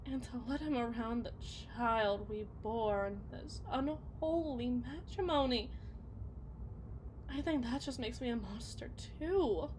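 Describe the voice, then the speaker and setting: A woman playing a bitter, self-loathing wife and mother in an ASMR roleplay, speaking in a theatrical, halting, rambling lament. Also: a little high, a little mellow, slight background noise, natural voice. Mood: despairing, self-reproachful.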